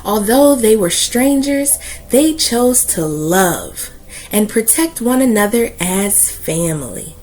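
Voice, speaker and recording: A woman reads a children's storybook aloud in a lively, swooping voice. Her phrasing is deliberate and emphatic, as a read-aloud host would do it. Soft music plays faintly behind her. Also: medium pitch, rough recording.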